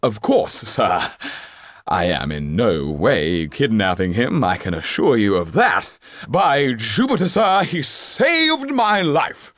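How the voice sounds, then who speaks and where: A man voicing a flamboyant, down-at-heel stage actor in an audiobook, effusive and courtly, with grandiloquent, drawn-out phrasing. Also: medium pitch, big pitch swings, fairly bright tone, dry recording, pitched above the performer's own voice. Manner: grandiloquent, declamatory, drawn-out delivery.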